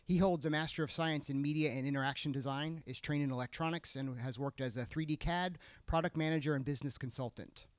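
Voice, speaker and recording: A man moderating a conference session, making brisk announcements with frequent filler sounds and introducing the speaker. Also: on the high side, steady tone, clear, resonant tone, no room sound. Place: conference hall.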